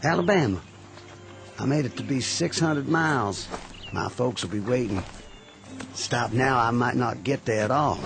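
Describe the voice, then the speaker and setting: A man's voice: medium pitch, a little slow, vocal fry, pauses often, music in the background. A man playing a polite, humble young Confederate soldier with a Southern accent, wounded and heading home on foot, in a radio drama. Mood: earnest, humble. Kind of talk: 1960s-style radio drama acting.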